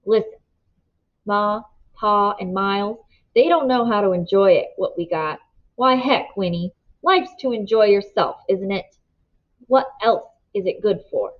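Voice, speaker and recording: An eager, dreamy country boy of seventeen, as a woman reads him aloud, coaxing in folksy talk. His voice sits at a middle pitch. The storybook recording sounds dull and lo-fi. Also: measured pace, natural voice.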